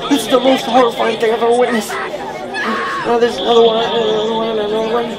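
A performer playing an excitable, stammering field reporter in a 1930s-style radio drama, urgent and awed. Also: mid-range voice, a little fast, rough recording. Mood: horrified. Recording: crowd noise behind.

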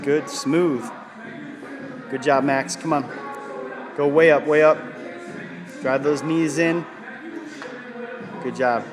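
A man coaching in a gym, counting reps aloud and encouraging his client, recorded on a camera mic. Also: medium pitch. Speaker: personal trainer. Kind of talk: coaching and commentary. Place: gym studio, indoors. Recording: camera audio in a large echoing gym with music.

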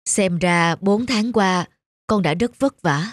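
A woman voicing a gentle, caring middle-aged mother in an animated story. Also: lowish voice, slow. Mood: tender. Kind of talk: animated moral-story dialogue. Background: background music.

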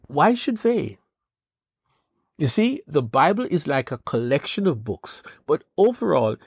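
A man hosting a devotional podcast, giving an earnest, measured Bible teaching with rising questions. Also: medium pitch, vocal fry, breathy edge, dry recording, clean studio sound. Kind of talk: scripted devotional talk.

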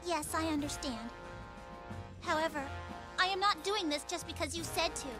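A woman's voice: extremely high pitch, moderate pace. A young woman playing a headstrong, proud warrior princess in a video game, spirited and forthright. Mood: resolute. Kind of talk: dubbed video game cutscene acting. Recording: game soundtrack music behind.

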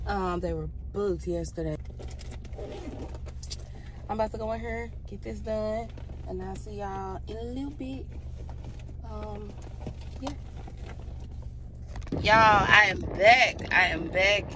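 A woman's voice: mid-range voice, takes it slowly, long pauses. Chatty woman vlogging in her car. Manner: chatty, animated, laughs often, talks to herself. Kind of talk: casual car chat to camera. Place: parked car, inside. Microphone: phone camera on dashboard. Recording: car cabin with outside noise.

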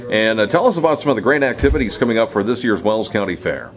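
A man with a slightly low voice and very varied intonation hosts a local interview. He speaks conversationally as a radio interviewer, recorded in an indoor room. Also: sing-song, fast talker, crowd noise.

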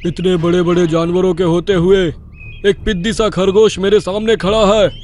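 A man's voice: sits high, flat delivery, normal speed, a little mellow, lightly acted. A man voicing an arrogant male lion who wants to rule the jungle, boastful and domineering. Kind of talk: cartoon dubbing.

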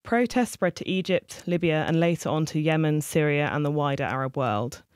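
A reporter giving scripted news narration with a clear, even delivery. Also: mid-range voice, moderate pace, no room sound, studio quality.

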